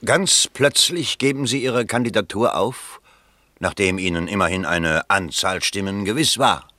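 A man's voice: lowish voice, unhurried, pushed and bright, dry recording. A man playing a self-important, opportunistic small-town politician in a German radio play, pompous and formal. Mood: probing.

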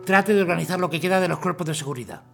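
A man playing a formal, hurried army colonel in a radio drama. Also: fairly high pitch, creaky, faint music behind. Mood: urgent. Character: urgent male army colonel.

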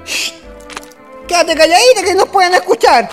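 Someone doing a scared chilean boy on the run, pleading, super high voice, radio play w music. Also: animated, normal speed. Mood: panicked. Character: frightened, pleading young man on the run. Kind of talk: radio drama acting.

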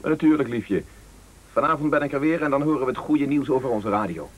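An actor playing a determined news agency boss in a Dutch radio play, speaking urgently and insistently with hesitations. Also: male voice, mid-range voice, expressive, brisk, natural voice. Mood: reassuring.

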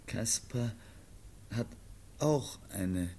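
An actor playing a frightened, childlike foundling youth in a German radio play of the 1950s, speaking in halting, broken phrases. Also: male voice, expressive, gravelly, dark tone, full character voice. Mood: dreamy, fearful. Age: young adult. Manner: halting, broken simple sentences. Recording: noisy old 1950 radio recording, rumble.

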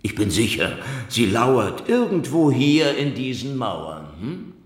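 An actor playing a cynical Soviet secret police investigator in a German radio crime drama, with a sardonic, taunting, theatrical delivery. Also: male voice, mid-range voice, big pitch swings, slow pace, reverberant. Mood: wry.

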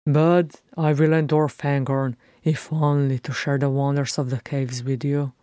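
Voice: medium pitch, flat delivery, unhurried, mellow, clean tone, no echo, studio quality, close to an everyday voice. A narrator voicing a hearty, comradely male dwarf in an audiobook, jovial and old-fashioned in phrasing. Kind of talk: fan fiction audiobook character acting.

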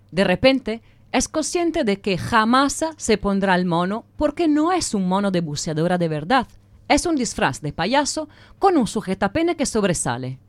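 A woman's voice: medium pitch, no room sound. A woman narrating an audio drama with scripted, dramatic storytelling.

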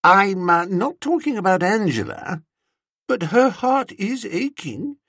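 A man playing an earnest, foppish young upper-class British gentleman in a comic audiobook, slangy, emphatic and hesitant. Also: sits high, very animated, slow, no echo, clean studio sound, pitched above the performer's own voice, heavily acted. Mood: exasperated, insistent.

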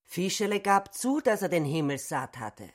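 An actress playing a storyteller in a literary radio play, with drawn-out, expressive delivery. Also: female voice, on the low side. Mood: wry.

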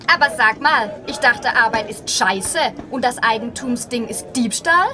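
A woman's voice: fairly high pitch, expressive, unhurried, sharp tone. A woman speaking in a scripted, sceptical dialogue for a radio feature.

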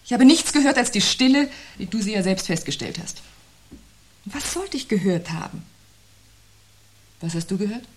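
An actress playing a sardonic, sceptical wife in a 1970s radio drama, speaking with dry, pointed irony. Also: female voice, mid-range voice, lively intonation, normal speed, pauses often, natural voice. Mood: cool, pointed. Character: sardonic, sceptical wife parrying her husband's unease.

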